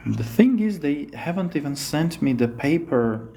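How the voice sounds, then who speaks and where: A man with an Armenian accent, a native Armenian speaker, teaching English as he walks through a test on screen. He talks in an unhurried, hesitant way and keeps checking in with "yes?". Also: a little high, big pitch swings, dark tone, slight background noise. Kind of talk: screen-share lesson walkthrough.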